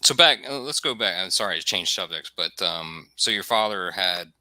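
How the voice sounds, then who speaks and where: Very deep-voiced host, bright and dry. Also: male voice, lively intonation, normal speed, dry recording, low-quality recording. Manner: rambling, apologetic questions. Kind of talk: casual interview on a video call.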